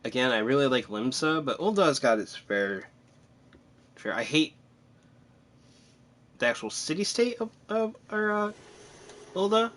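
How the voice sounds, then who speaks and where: A man's voice: mid-range voice, very animated, a little slow, pauses often, fairly bright tone. A man casually commentating on his live game stream, chatty and self-deprecating, thinking aloud as he plays.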